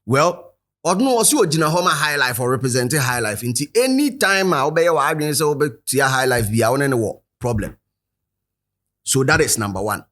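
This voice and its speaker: A man with a Ghanaian accent hosting a commentary show, animated and rhetorical, speaking straight to his viewers. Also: medium pitch, normal speed. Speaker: show host. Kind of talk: commentary to camera, mixing Twi and English.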